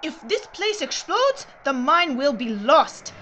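A woman's voice: high voice, big pitch swings, slow, pushed and bright, slight background noise, heavily acted. A woman playing a stern, alarmed businesswoman in an audio drama, dramatic and indignant. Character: stern, alarmed foreign businesswoman pleading with madman.

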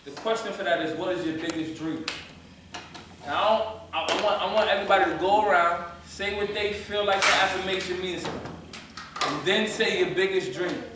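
A young man leading a youth poetry workshop, talking casually and encouragingly, repeating an affirmation slowly for the group. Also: high voice. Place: large community room with hard walls. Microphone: far camera. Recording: echoey room from far off, noisy.

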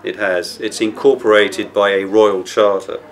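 A middle-aged man with a low voice gives a documentary interview. He explains as he thinks, rephrasing and circling back in a reflective manner. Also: flat delivery, unhurried. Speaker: broadcaster.

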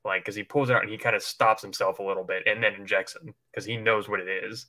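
A man with a fairly low voice and a bright, forward edge. He talks like a livestream co-host in casual, rambling conversation, informal and loose in his wording. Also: steady tone, fast. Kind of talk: casual chat speculating about films.

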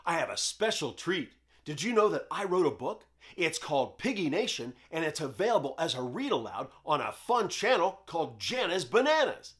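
A man's voice: fairly high pitch, slightly rough. A middle-aged man who hosts a children's show, presenting and reading stories aloud for kids.

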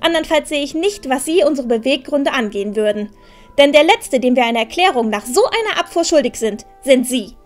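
An actress playing a proud, sharp-tongued, defiant teenage girl in a fantasy audio drama, with a pointed, haughty manner. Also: sits high, animated, a little fast, soft background music. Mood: cool, defiant.

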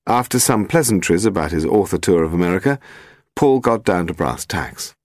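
A man's voice: sits low, expressive, normal speed, darkish tone, no room sound, clean studio sound. A man reading scripted narration of a detective audiobook.

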